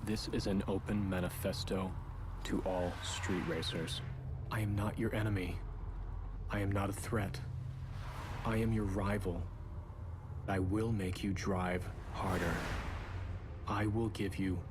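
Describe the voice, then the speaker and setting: An actor playing a brooding, defiant street racer who delivers a solemn, calculating game cutscene monologue in measured short phrases. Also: male voice, sits low, steady tone, background noise. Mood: defiant, resolute. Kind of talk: dramatic scripted cutscene monologue. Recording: loud music behind the voice. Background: loud music.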